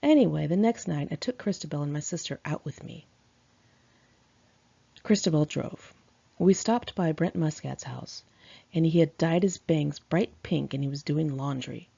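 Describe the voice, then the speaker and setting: A woman's voice: low voice, moderate pace, creaky, warm tone, slightly rough. A woman reading her own memoir aloud in a candid, chatty, sassy conversational style, on a dull lo-fi home recording.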